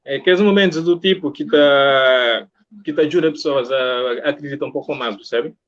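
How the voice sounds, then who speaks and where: Male interviewer, Cape Verdean accent, higher-set voice, encouraging and conversational, slightly muffled recording. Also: fairly quick, fairly bright tone. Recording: dull lo-fi audio.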